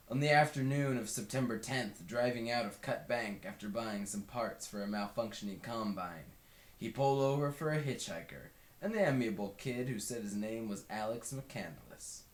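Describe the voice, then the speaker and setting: A man reads a book aloud as narrator. His voice sits on the low side. The home recording is roomy, with clear echo around the words. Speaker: read-along narrator.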